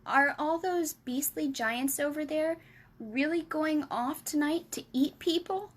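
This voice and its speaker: A woman reading aloud and voicing Sophie, a curious, earnest young girl with a high, eager voice. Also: a little slow. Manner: eager, questioning, high and bright.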